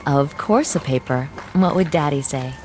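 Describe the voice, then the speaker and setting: An actress playing an earnest, weary young social worker and dutiful daughter, speaking in a restrained, educated way in a radio drama. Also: female voice, sits low, lively intonation, moderate pace, darkish tone. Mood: friendly. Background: faint music.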